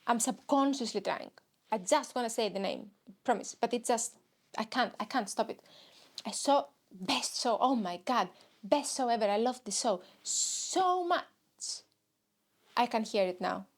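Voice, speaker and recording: A woman's voice: on the high side, expressive, measured pace. Rambling Greek woman, comic monologue. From Greece. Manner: rambling, self-mocking, jumps into silly voices. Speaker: podcast host.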